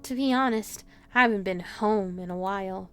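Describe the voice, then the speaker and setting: A woman's voice: mid-range voice, moderate pace, clear, resonant tone, soft background music, lightly acted. A woman voicing a guarded, weary injured mermaid, evasive and polite, in a visual novel. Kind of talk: visual novel read-aloud.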